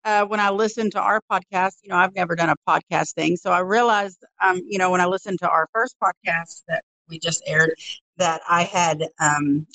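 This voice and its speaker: A woman's voice: on the low side, fairly even intonation, moderate pace, bright, forceful tone, no echo, rough recording. A woman from Texas with a Southern drawl, co-hosting a podcast, hesitant and self-conscious. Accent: Texan Southern drawl. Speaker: podcast co-host. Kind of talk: casual chat.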